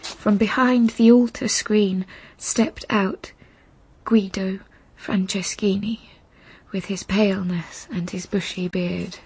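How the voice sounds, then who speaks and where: A woman playing a wistful young bride who recalls her past in a measured, reflective radio drama monologue. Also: medium pitch, fairly even intonation, darkish tone, slightly rough, exaggerated voice, rough recording. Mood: quiet, remembering.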